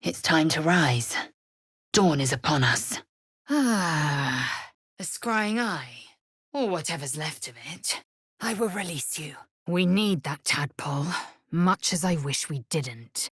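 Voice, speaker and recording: Githyanki warrior woman: proud and imperious, low raspy voice, slow clipped commands, fantasy video game. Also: expressive, no room sound, studio quality, exaggerated voice. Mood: measured, grave. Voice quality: husky, raspy. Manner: clipped, commanding, haughty, formal wording. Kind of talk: game voice acting, short scripted lines.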